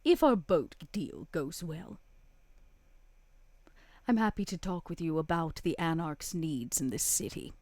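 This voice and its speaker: A scheming vampire power broker acted by a woman, speaking in a lowish, closely recorded voice. She is theatrical and deliberate, teasing her way through florid lines in a tabletop horror game. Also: lively intonation, a little mellow, no room sound. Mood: measured bargaining. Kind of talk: tabletop roleplay in character.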